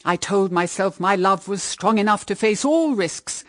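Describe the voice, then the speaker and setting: A woman's voice: mid-range voice, animated, a little slow, dry recording, lightly acted. Actress as an anguished, bitter widowed mother, dry sound. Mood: earnest pleading. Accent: British. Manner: solemn, intense, melodramatic. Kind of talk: radio drama acting.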